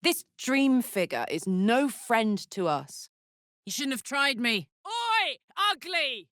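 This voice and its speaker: A woman playing a brash, warm-hearted, tough tiefling warrior in a video game, speaking in a blunt, slangy, heartfelt way. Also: a little high, sing-song, slow, no echo, studio quality, full character voice. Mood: blunt, defiant. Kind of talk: video game character voice acting.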